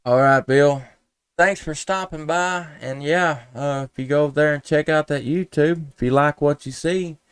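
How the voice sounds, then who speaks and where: A man hosting a casual live stream as an amateur radio operator, talking in a halting, folksy, rambling way. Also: fairly high pitch, clean tone, no echo. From Tennessee, United States.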